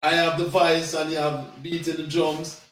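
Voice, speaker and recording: A man in his sixties with a Jamaican accent, a reggae singer telling stories of his youth in a relaxed interview. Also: a little high, normal speed, reverberant, recorded from a distance, poor audio. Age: 60s. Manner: relaxed, laughing, patois phrases. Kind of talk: casual interview storytelling.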